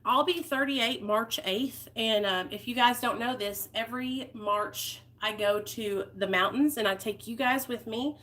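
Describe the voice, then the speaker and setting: A woman's voice: fairly high pitch, a little slow, hardly any pauses, fairly bright tone, slight background noise. A woman, talking candidly and heartfelt to her viewers in a personal vlog. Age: 30s. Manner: candid, heartfelt, rambling with fillers. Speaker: vlogger.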